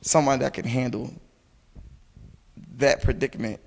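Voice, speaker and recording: Hoarse young man with a gravelly edge. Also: mid-range voice, steady tone, measured pace, darkish tone. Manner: loose, rambling, full of fillers. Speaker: panelist. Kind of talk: casual panel discussion. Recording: long reverb, faint noise.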